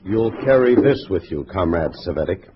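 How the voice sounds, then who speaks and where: A man playing a brisk communist cell boss in a 1950s radio drama, giving curt, authoritative orders. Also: sits low, animated. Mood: commanding. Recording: dull, faintly noisy old radio recording.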